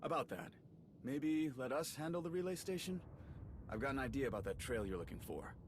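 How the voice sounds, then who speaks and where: A man's voice: mid-range voice, animated, brisk, a little hiss or hum, close to an everyday voice. A man acting a chatty, friendly male soldier and slicer in a video game cutscene, casual and wry with rambling asides. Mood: eager, explaining.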